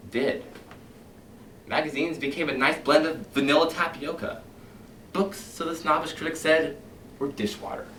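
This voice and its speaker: Man acting out a sardonic middle-aged fire chief, lively lecturing swings from booming to hushed, echoey hall from far off. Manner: persuasive, sarcastic lecturing, swinging between loud and hushed. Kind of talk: competition dramatic monologue. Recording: echoey hall heard from far off, faint hiss.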